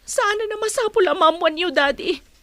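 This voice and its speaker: A woman's voice: extremely high pitch, normal speed, fairly bright tone, no echo. A woman playing a wronged, aggrieved wife in an Ilocano radio drama. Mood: hurt, firm. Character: wronged, aggrieved wife leaving her husband.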